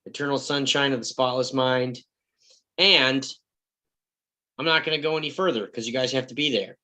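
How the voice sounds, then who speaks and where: Offhand man with a bright voice. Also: mid-range voice, normal speed. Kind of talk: casual chat. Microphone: video call.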